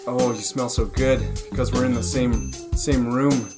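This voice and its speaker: Casting: actor as gushing young soap-opera leading man, smitten, slow-paced delivery. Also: medium pitch, fairly even intonation, natural voice. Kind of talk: improvised soap-opera acting. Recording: music playing clearly behind.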